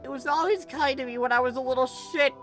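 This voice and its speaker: A woman's voice: high voice, fast talker, a bit bright, faint music behind, pitched above the performer's own voice. A woman voicing an impulsive, earnest teenage boy who blurts out his thoughts insistently. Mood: urgent, earnest.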